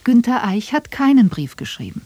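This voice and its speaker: A man serves as second narrator in a scripted radio documentary, trading short lines in dialogue. His voice is high for a man and recorded very dry. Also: big pitch swings, normal speed, a little mellow, no echo.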